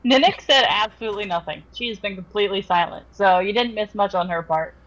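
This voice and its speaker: A woman's voice: medium pitch, fast, fairly bright tone. Woman, tabletop player, dry matter-of-fact, muffled lo-fi sound. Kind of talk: describing her character's actions.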